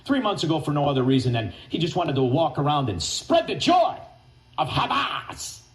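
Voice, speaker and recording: A man's voice: a little high, sing-song, moderate pace, reverberant. Ranting, mocking male talk radio host. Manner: mocking, name-calling, shouty bursts. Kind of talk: angry talk radio rant.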